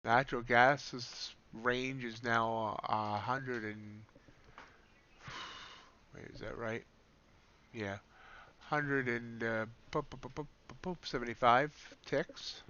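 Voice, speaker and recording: Man, bright forceful voice, slightly raspy, live trading commentary, dull lo-fi sound. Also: mid-range voice, steady tone, slow, long pauses, slight background noise. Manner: thinking aloud, correcting himself mid-sentence. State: slightly raspy from streaming for hours. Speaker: streamer, day trader.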